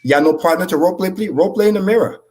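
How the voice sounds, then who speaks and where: A man whose first language is French, giving high-energy, profane motivational talk as a livestream co-host. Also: rapid-fire, darkish tone.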